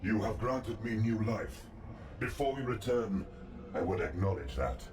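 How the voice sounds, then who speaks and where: An ancient male god for a video game, regal and calculating. His voice is low and measured, with solemn, formal phrasing. It rings with heavy echo and sits at a distance from the listener. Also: lively intonation, darkish tone, a little hiss or hum. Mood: solemn, confiding. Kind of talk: scripted video game dialogue. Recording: cavernous echo, distant, music and ambience behind.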